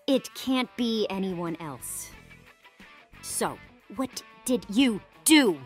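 A woman's voice: medium pitch, lively intonation, very slow, faint music behind. A woman voicing a hostile, protective teenage dinosaur girl in a visual novel, blunt and accusing.

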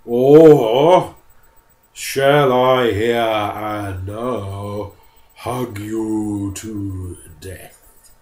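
A man's voice: darkish tone, reverberant. A man voicing a huge, menacing talking bear in a storybook reading, drawn out and growling. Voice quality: slow, booming, growling.

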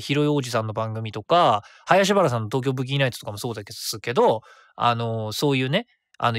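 A man around forty, hosting his own web radio show in a chatty, rapid way that stays easy to follow. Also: mid-range voice, fairly bright tone, no echo, clean studio sound. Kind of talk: casual solo radio talk.

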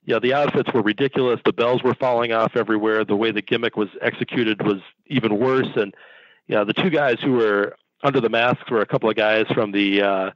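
A male podcast guest who talks fast and explains things in a knowledgeable, matter-of-fact way. His audio is dull and lo-fi, and the sound is dry and close. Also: fairly low pitch, fairly even intonation, dry recording. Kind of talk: casual podcast chat.

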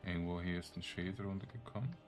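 A man giving casual gameplay commentary, thinking aloud in short, halting phrases. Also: sits low, a little mellow, faint music behind. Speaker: let's play streamer.

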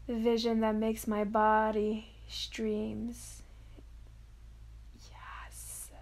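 A woman guides a meditation in a fairly high voice. She speaks very slowly, in soft, drawn-out phrases with long pauses. Her intonation stays level and dreamy as she offers affirmations. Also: level tone.

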